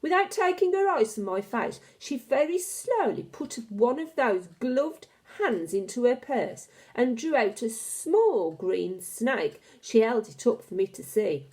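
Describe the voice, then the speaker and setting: Woman, storybook narrator, mellow tone, animated melody, dramatic and deliberate delivery. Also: fairly high pitch. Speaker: teacher reading a children's novel aloud.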